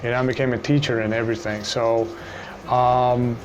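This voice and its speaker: A man in his forties from Brazil with a Brazilian Portuguese accent, an English teacher telling his own story to camera in a relaxed way, with many "you know"s. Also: mid-range voice, moderate pace, highs cut off, slight background noise. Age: 40s.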